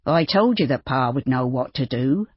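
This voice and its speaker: A reader doing an eager rough-spoken farm lad. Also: medium pitch, lively intonation, normal speed, no echo, lo-fi sound. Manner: rural ungrammatical speech.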